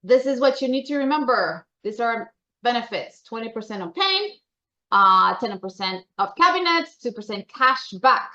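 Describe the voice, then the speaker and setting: A woman's voice: a little high, animated, normal speed, bright, forceful tone, muffled recording. A woman with a Colombian accent giving informal, chatty, upbeat announcements to members at a meeting. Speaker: membership association staffer presenting member announcements.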